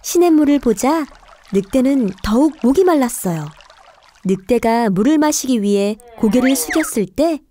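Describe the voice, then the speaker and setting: A woman's voice: high voice, animated, moderate pace, warm tone, slight background noise. A woman narrating a scripted children's fairy tale in a careful storytelling manner.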